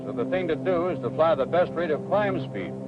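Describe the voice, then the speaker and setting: Man playing a higher-voiced 1960s flight instructor, muffled film sound. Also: animated, background noise, lightly acted. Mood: explaining. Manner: instructive, measured explanations and crisp commands. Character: experienced male flight instructor, patient and instructive. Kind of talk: 1960s training film dramatic dialogue.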